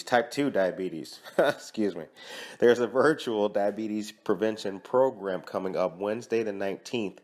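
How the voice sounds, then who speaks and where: A man hosting a morning podcast, reading community announcements and interviewing a guest in a lively, friendly way. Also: fairly low pitch. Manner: lively, friendly, self-correcting with a laugh. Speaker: podcast host.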